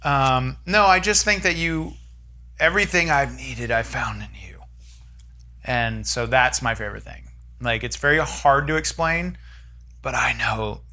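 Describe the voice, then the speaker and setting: A man's voice: medium pitch, a little slow, pushed and bright. A man hosting a casual chat with his wife, playful and rambling, joking around. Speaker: host.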